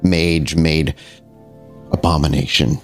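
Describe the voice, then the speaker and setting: A man voicing a stoic, scornful father figure who speaks in a low, dry, contemptuous tone. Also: level tone, slow pace, soft background music, exaggerated voice.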